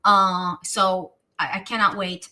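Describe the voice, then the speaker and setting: Bright-voiced Romanian-accented woman host. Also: medium pitch, slow. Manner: excitable, gushing, restarts often. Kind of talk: casual livestream chat.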